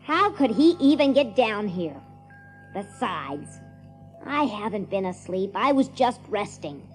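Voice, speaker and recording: A woman's voice: mid-range voice, very animated, takes it slowly, faint music behind. A woman voicing a cocky, stubborn young boy hero in an audiobook drama, earnest and headstrong.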